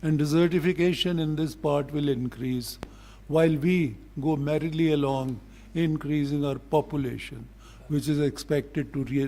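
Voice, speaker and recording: An older man with a Pakistani accent speaks as a diplomat in a formal public address, in a deliberate, blunt, admonishing manner. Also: medium pitch, gravelly, mellow, a little hiss or hum.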